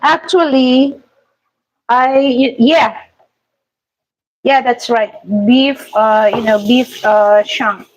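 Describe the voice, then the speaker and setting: A Filipino woman chatting casually with viewers in halting English, full of fillers and restarts. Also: on the high side, steady tone, dull audio, a little hiss or hum. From Philippines. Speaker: vlogger. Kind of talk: casual live chat with viewers. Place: home kitchen.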